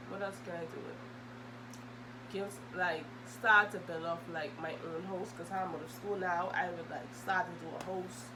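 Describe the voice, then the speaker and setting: A young adult woman, chatting casually and hesitantly as she answers questions for a vlog. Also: fairly low pitch. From Bahamas. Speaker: video host. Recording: loud humming background noise.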